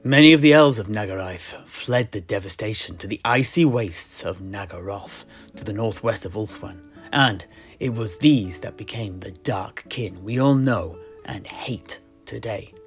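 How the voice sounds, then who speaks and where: A man playing a scholarly, genial tavern keeper in a fantasy audio play, telling history in a wordy, theatrical way with deliberate pauses. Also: lowish voice. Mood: measured, storytelling. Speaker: narrator and host of an in-universe audio play. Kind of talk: scripted in-character fantasy storytelling. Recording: plucked guitar music behind the voice. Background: plucked guitar music.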